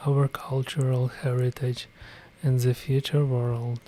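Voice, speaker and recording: A man guiding a meditation in a soft, hushed, whispery voice. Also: medium pitch, level tone, takes it slowly, low-quality recording. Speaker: meditation guide. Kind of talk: guided meditation narration.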